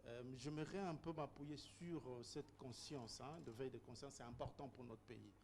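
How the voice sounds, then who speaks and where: A man's voice: mid-range voice, moderate pace, darkish tone, a little hiss or hum. A man speaking as a guest in a panel debate, opening with hesitant stammering.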